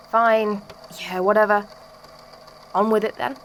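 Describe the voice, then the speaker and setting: A woman's voice: medium pitch, steady tone, takes it slowly, a little hiss or hum, close to an everyday voice. A woman playing a sardonic, skeptical friend in an amateur audio drama, speaking in a blunt, casual, exasperated way.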